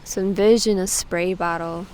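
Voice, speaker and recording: A woman leading a guided meditation, giving soothing instructions with frequent pauses. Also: fairly low pitch, fairly even intonation, darkish tone, slight background noise, rough recording. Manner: slow, pausing, soothing instruction.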